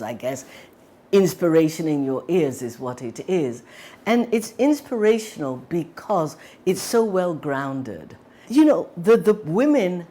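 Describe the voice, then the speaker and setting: A woman's voice: sits low, mellow. A woman giving a reflective spoken testimonial.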